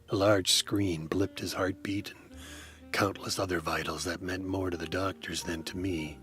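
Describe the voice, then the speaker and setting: A man narrating in hard-boiled first person as a detective, with a dry, wry, deadpan delivery. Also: low-pitched.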